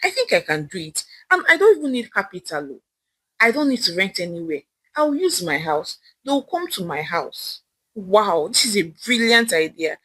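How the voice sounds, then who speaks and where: A woman storyteller voicing an excitable, hopeful young woman in a Nigerian accent, exclaiming and talking things over to herself. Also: mid-range voice, normal speed, slightly rough, dry recording, rough recording. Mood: excited.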